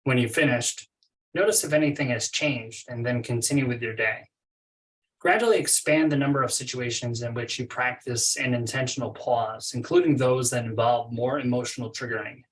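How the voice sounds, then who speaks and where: A man leading a guided meditation as a coach, reading instructions in a measured way with pauses between phrases. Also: mid-range voice, recorded from a distance, poor audio. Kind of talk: guided meditation, read from a script.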